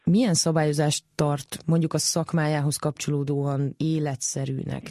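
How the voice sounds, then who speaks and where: A radio interviewer with a dark, mellow voice puts concise, probing questions. The sound is close and dry, with no room around it. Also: mid-range voice, flat delivery, no room sound.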